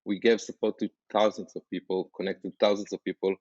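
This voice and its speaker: A man with an Israeli accent, a startup founder talking as a guest on a podcast, earnest and halting, with many fillers and restarts. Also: low voice, little pitch movement, a little mellow, no room sound, muffled recording. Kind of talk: podcast interview.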